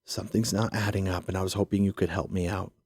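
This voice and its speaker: A man's voice: sits low, level tone, quick pace, darkish tone, slightly rough, no room sound, clean studio sound. A man playing a worn-out but dogged police detective, guarded and probing, in an audio drama. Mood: probing, earnest.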